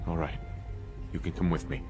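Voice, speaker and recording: Deep-voiced, weary soldier hero. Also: male voice, animated, moderate pace. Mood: firm, reassuring. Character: weary, determined male soldier, war-survivor hero. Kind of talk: scripted game dialogue and solemn narration. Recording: game audio under loud music.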